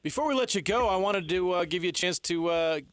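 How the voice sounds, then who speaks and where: A man's voice: sits high, lively intonation, fairly quick, a bit bright. A man co-hosting a sports radio show, chatting casually with a teasing manner.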